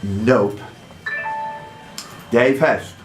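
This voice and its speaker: A man working as a quiz master, reading buzzer questions aloud in a deliberate way and announcing point values between clues. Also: mid-range voice, over music. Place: pub room with contestants. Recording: echoey room with faint background noise.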